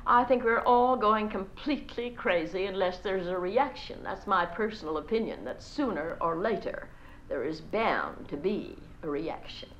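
A woman's voice: medium pitch, animated, normal speed, fairly bright tone, slight background noise, close to an everyday voice. Woman doing a gushy gossipy society mum who keeps scolding the kids, muffled old lo-fi sound. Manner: gushing, gossipy, scolding the children between sentences. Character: chattering fussy society mother, gossipy, bossy with her children. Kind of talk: solo stage character monologue.

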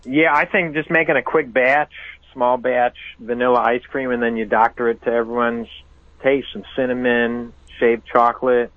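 A man from the Chicago area with a Chicago accent, calling into a radio show and talking in a halting, repetitive way. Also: medium pitch, flat delivery, no echo. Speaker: caller. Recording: thin phone line.

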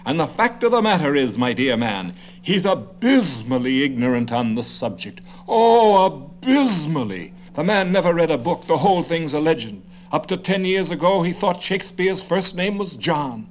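A man's voice: a little high, very animated, normal speed, few pauses, slight background noise. An actor playing a fussy, condescending older man who chatters and lectures endlessly. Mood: chattering. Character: fussy know-it-all older man, gabby.

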